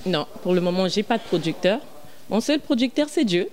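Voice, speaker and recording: A woman from Congo in her thirties, a gospel singer answering interview questions earnestly with frequent pauses and fillers, speaking into a handheld mic on a small indoor set. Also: medium pitch, lively intonation, darkish tone, a little hiss or hum. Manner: earnest, many fillers and restarts. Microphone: handheld mic held by interviewer.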